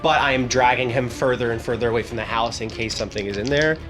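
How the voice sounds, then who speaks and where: A man's voice: medium pitch, fast talker. A man casually explaining his moves as a player in a tabletop game, with music under his voice. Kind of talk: casual tabletop play talk.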